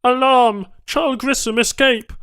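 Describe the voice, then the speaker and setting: A man's voice: very high voice, steady tone, measured pace, a bit bright, clear, resonant tone, no room sound, clean studio sound, voice pushed up, heavily acted. A man voicing an alarmed alien lab technician in a science fiction audiobook, calling out urgent, clipped alerts.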